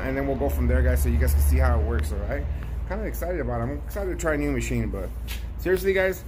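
A middle-aged man chatting casually to his vlog viewers as a barber and shop owner, often repeating phrases for emphasis. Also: medium pitch. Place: outside a storefront, later inside a barbershop. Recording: noisy ambient background.

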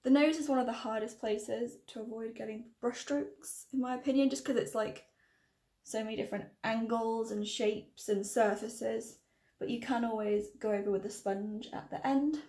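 A woman in her thirties with a croaky, tiring voice, chatting and correcting herself as she presents a casual tutorial to camera. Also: fairly high pitch, steady tone, normal speed, mellow, reverberant. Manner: chatty, rambling, self-correcting. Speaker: makeup tutorial vlogger. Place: small room indoors.

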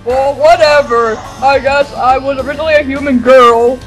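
A woman's voice: sits high, fairly even intonation, moderate pace, faint music behind, lightly acted, rough recording. A woman voicing a petulant reptile king villain in an amateur cartoon parody, sassy and girlish. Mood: bossy, petulant.

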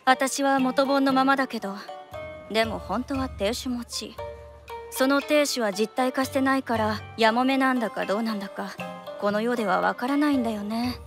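An actress playing a worldly, caring big-sister courtesan in a period radio drama, teasing and bossy, with familiar old-fashioned speech. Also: female voice, a little high, moderate pace, music in the background, natural voice. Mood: musing.

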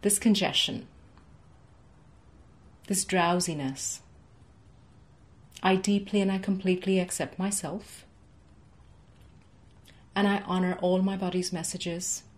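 A young woman coaching a guided tapping session from her home office, speaking in slow, soothing, rhythmic repeated phrases for the listener to echo. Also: fairly low pitch, steady tone. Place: home office with framed certificates, indoors.

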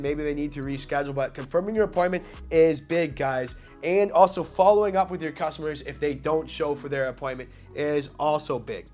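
A man in his thirties speaks as a sales trainer, with a somewhat high-pitched voice. He is direct and informal, coaching the viewer and acting out sample phone lines. Faint music plays behind him. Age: 30s. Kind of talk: informal instructional talk to camera. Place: small office, indoors. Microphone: lapel mic.

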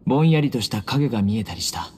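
A man playing a solemn, resolute young guardian warrior in an anime game, speaking in a measured, serious, blunt way. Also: on the low side, animated, a little mellow, a little hiss or hum, lightly acted. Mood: grave, reflective.

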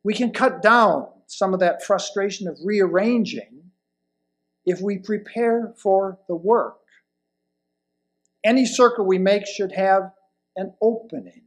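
An elderly man delivers a sermon at a slow, measured pace. His voice sits fairly high for a man and has a marked creak. He phrases deliberately, leaning on key words and pausing between them. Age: older, around 70s or 80s. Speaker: lay presenter reading a sermon. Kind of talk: sermon read from a pulpit. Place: indoors at a wooden lectern in a church hall. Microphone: lapel mic.

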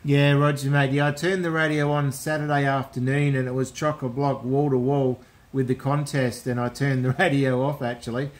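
A man's voice: medium pitch, little pitch movement, moderate pace. Australian man chatting on ham radio. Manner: matey, uses radio call phrases. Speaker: amateur radio operator. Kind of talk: casual ham radio contact. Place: indoors at a radio set.

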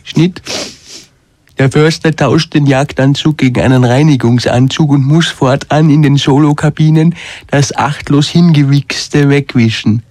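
Male radio narrator, mock-solemn, slightly high voice, unhurried delivery. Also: darkish tone, no room sound. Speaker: radio comedian as narrator and director of a radio play. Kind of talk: slow, solemn scripted narration with curt studio directions.

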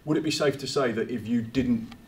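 A man's voice: medium pitch, a little fast, a little mellow, a little hiss or hum. A man working as a reporter, asking prepared, formal questions at a press conference.

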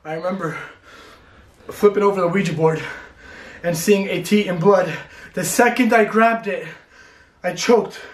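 A young man vlogging a staged paranormal challenge in a dark abandoned building at night, hoarse and panicked, talking in shaken, hushed bursts. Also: high-pitched, unhurried, pauses often, roomy sound. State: hoarse, complaining of a sore throat.

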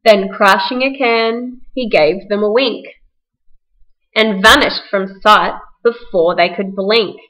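A woman's voice: mid-range voice, slow, fairly bright tone, muffled recording. A woman narrating a rhyming children's story for a puppet show, reading from a script.

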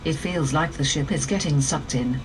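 Man playing an anxious higher-voiced airship crewman. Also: normal speed, natural voice. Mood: worried. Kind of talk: fan dub game acting. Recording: noisy engine-like ambience.

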